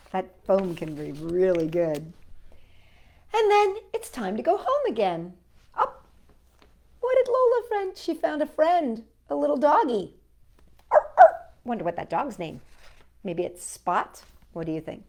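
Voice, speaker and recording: A woman's voice: mid-range voice, very animated, a little slow, pauses often, darkish tone. A woman hosting a children's storytime, reading a picture book expressively and chatting to young listeners in asides.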